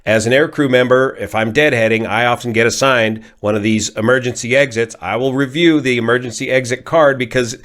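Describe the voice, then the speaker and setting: An American man, a pilot from California, giving an informal analysis in a measured, methodical, technical way. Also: mid-range voice, fairly even intonation, fairly bright tone. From California, United States. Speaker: pilot and aviation commentator. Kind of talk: informal explanatory accident analysis.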